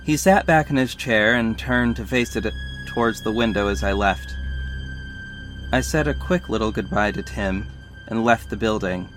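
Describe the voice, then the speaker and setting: A man's voice: on the low side, moderate pace, over music, close to an everyday voice, rough recording. A man playing a guarded, wry agency owner who narrates an audio drama in the first person and speaks its dialogue. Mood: somber.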